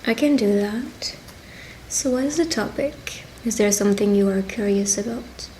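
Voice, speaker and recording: A woman speaking directly to the listener as a tarot reader in a witch roleplay, pausing as she goes. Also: mid-range voice, mellow, poor audio. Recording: clear background ambient noise.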